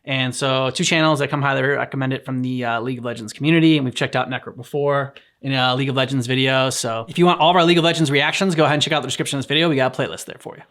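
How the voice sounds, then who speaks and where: Fast-talking man hosting casually. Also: medium pitch, fairly even intonation, a bit bright. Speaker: reaction channel co-host. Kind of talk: casual chat.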